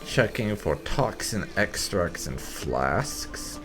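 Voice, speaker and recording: A man's voice: low voice, very animated, takes it slowly, music in the background. A man giving roleplay playthrough commentary on a video game, musing with wry understatement. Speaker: gaming channel host.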